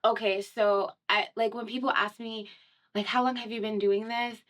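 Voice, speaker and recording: A woman's voice: fairly high pitch, level tone, normal speed, fairly bright tone. A young woman from Miami talks casually in a radio studio, guesting as a singer. Her speech is chatty and meandering, full of fillers, with frequent light laughs. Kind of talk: casual conversational interview.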